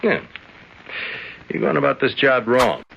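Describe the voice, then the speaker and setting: An actor playing a fair-minded older prison guard, folksy and advising, in a radio drama. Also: male voice, mid-range voice, a little slow, creaky, slight background noise. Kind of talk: 1970s radio drama acting. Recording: dull old radio broadcast.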